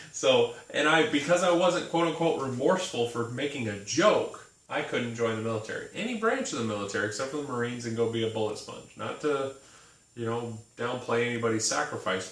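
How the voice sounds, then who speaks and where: A man's voice: mid-range voice, fairly bright tone. A man co-hosting a casual chat, often chiming in with short agreements between his own stories. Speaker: podcast co-host. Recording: echoey room, mic at a distance.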